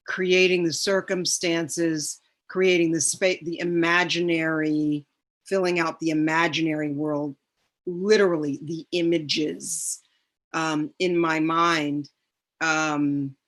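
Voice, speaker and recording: Deliberate, slow-spoken woman with a lowish voice. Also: a bit bright. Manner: deliberate, pausing, searching for words. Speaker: actor discussing a production. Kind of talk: reflective casual discussion.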